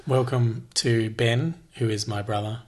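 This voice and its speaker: A young man hosts a casual podcast from a lounge room at home. His voice is slightly husky and breathy, at an everyday pitch. He chats loosely, laughing and starting over often. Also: fairly even intonation, measured pace, darkish tone. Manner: chatty, laughing, restarting often. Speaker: podcast host. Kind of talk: casual chat.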